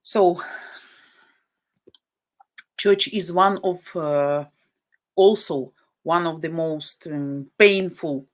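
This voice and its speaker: A woman's voice: on the low side, extremely slow, pauses often. A woman from Ukraine, a native Russian speaker with a Ukrainian-Russian accent, hosting a live stream and talking bluntly and indignantly, often repeating herself for emphasis. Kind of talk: casual live stream chat.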